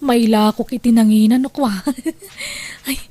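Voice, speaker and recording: Woman doing sneaky young maid in a radio drama, high voice, ilocano accent, chatty and playing innocent. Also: fairly even intonation, unhurried, mellow, dry recording. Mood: sly, scheming. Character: sly young housemaid who pilfers from her employers. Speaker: drama actor.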